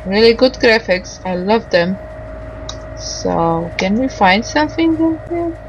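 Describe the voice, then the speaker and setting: A woman with a fairly high voice gives casual commentary on a game. She talks slowly and hesitantly, musing to herself, with some background noise on the recording. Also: expressive, dull audio. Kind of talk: casual playthrough commentary, reading dialogue aloud.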